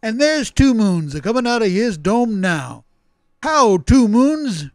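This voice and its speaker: A man's voice: high voice, sing-song, darkish tone, exaggerated voice. A man playing a folksy, breezy frontier agent in a radio serial, with colloquial phrasing. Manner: folksy, drawn-out colloquial talk. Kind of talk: old-time radio serial acting.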